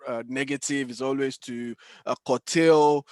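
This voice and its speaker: A man's voice: medium pitch, steady tone, measured pace, a little mellow, smooth, dry recording. A man with a Nigerian accent hosting a podcast in a casual, conversational style. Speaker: podcast host. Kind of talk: casual discussion, wrapping up.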